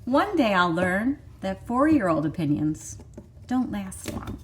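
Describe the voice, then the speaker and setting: A woman's voice: mid-range voice, sing-song, a little slow, slight background noise. A woman in her thirties with a Michigan accent, hosting a vlog in chatty, rambling casual talk full of fillers. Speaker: vlog host. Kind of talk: casual chat.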